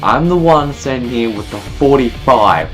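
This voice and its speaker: A man's voice: mid-range voice, lively intonation, a little slow, a bit bright, soft background music. A man voicing a cowardly, bratty teenage gangster's son in a comic book dub, whiny and taunting. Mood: sneering.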